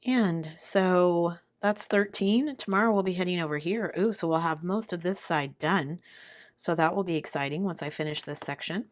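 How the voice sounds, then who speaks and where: A woman chatting casually and murmuring to herself as she does a craft, her hoarse voice giving out from sickness. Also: moderate pace. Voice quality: hoarse, low voice. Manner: murmuring to herself while working. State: sick, voice giving out. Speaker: craft video host. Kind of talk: casual chat while doing a craft.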